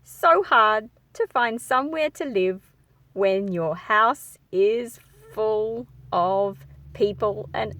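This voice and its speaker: A woman reading a picture book aloud to children, expressive and engaging the listener as she goes. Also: mid-range voice, a little hiss or hum. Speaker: children's story reader.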